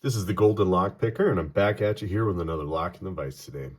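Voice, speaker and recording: A deep-voiced, middle-aged man talks casually through a hands-on tutorial, his voice a touch raspy from allergies. He rambles and often corrects himself mid-sentence, filling the pauses with "uh" and "um.". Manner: rambling, many fillers and self-corrections. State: slightly hoarse from allergies. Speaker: lock picking video host. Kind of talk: casual tutorial talk to camera.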